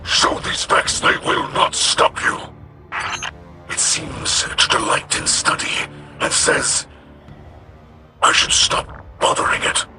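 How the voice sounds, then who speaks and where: A man voicing a wise, reverent alien ally in a video game, measured, hushed and earnest, pausing between phrases. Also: music in the background, natural voice. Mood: urgent guidance.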